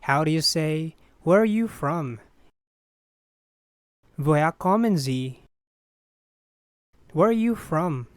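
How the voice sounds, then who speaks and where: A speaker reading a language phrase drill, each short phrase spaced out and repeated in a measured way. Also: mid-range voice, expressive, no echo, clean studio sound. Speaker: language teacher. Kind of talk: slow phrase drill with repetition.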